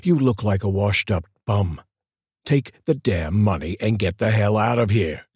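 Man reading as a contemptuous audiobook thug, very low gravelly-husky voice, deliberate threats. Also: animated, darkish tone, no room sound, clean studio sound. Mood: sneering threat. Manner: sneering, insulting, slow threatening delivery. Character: menacing middle-aged male thug, sneering and greedy.

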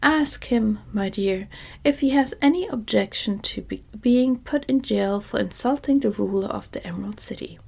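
A woman's voice: medium pitch, moderate pace, vocal fry, breathy edge. Scarecrow, a fussy straw king, as a whispering woman puts him on. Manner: soft whispered reading.

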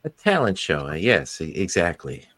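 A man playing a giddy, nervous government scientist with a halting manner in a live radio theatre reading. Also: sits low, sing-song, fairly bright tone, heavily acted. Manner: halting, stammering.

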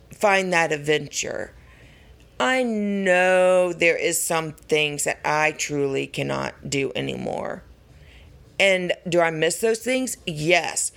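Raspy woman vlogger, unhurried and earnest, gravelly fry, encouraging tone. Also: on the low side, fairly bright tone. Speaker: pageant contestant. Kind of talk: casual vlog talk to camera.

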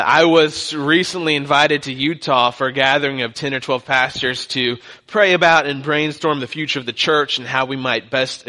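A man's voice: mid-range voice, sharp tone. A young man from Texas with a light Texan accent, preaching a sermon as a guest pastor in a joking, conversational way. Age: young adult.

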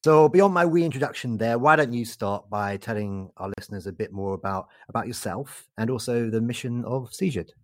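A man's voice: mid-range voice, fairly quick, hardly any pauses, no echo, studio quality. A man hosting a podcast interview, chatty and joking, tripping over repeated words. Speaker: podcast host.

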